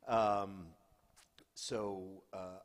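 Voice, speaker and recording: Male panelist, American, low-pitched, halting and self-deprecating, speaking off the cuff. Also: flat delivery. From New York area, United States. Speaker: panelist, finance professional. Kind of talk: unscripted personal introduction on a panel.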